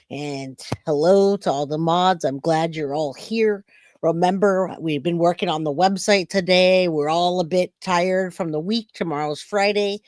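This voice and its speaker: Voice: mid-range voice, no echo, studio quality. A livestream host chatting casually to viewers and giving informal commentary.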